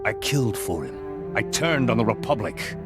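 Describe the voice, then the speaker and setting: A weary yet zealous fallen warrior-monk in a video game. His low voice is grave and deliberate, under loud music. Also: big pitch swings. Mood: solemn. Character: solemn, zealous fallen Jedi man, weary and resolute.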